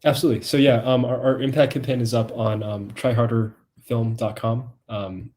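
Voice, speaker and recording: A young man chatting casually on a video call panel, halting and often repeating his words. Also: mid-range voice, flat delivery, a little mellow. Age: young adult. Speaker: documentary film subject on a Q&A panel. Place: small room at home, video call.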